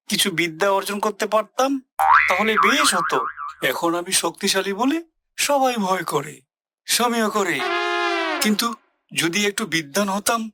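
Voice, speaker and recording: A man's voice: high voice, sharp tone, slight background noise, full character voice. A man voicing a boastful, self-pitying tiger king in a children's cartoon, with a theatrical, wailing delivery that repeats its exclamations. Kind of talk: children's animated story acting.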